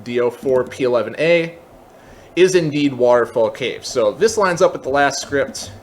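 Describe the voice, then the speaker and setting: A man hosting a software tutorial, explaining step by step in a methodical way. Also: medium pitch, lively intonation, moderate pace, a little hiss or hum. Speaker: tutorial host.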